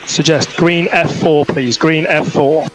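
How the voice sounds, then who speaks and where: A man's voice: fairly high pitch, steady tone, unhurried, soft background music. A man working as a race engineer on team radio, giving clipped instructions and repeating each one. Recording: noisy team radio, vehicle noise.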